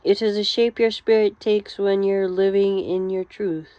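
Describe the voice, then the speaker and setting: A woman delivering a guided therapy-style monologue, speaking gently and deliberately in short phrases with pauses between them. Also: medium pitch, level tone. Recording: roomy, slightly dull lo-fi sound.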